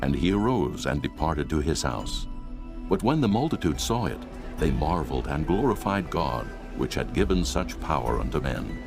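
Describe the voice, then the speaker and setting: A man's voice: a bass voice, very animated, normal speed, creaky, music in the background. A man narrating a dramatized Bible in a solemn, dramatic storytelling manner.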